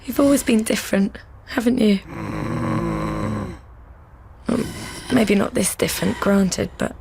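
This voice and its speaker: An actress playing a tender, anxious daughter in a radio drama, pleading and often slipping into hesitant whispers. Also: female voice, medium pitch, measured pace, creaky, darkish tone, slight background noise.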